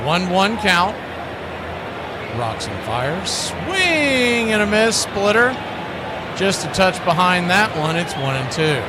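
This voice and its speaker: Man, baseball announcer, high voice, clipped punchy calls, folksy slang, clear background noise. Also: sing-song, takes it slowly. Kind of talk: live radio-style baseball commentary.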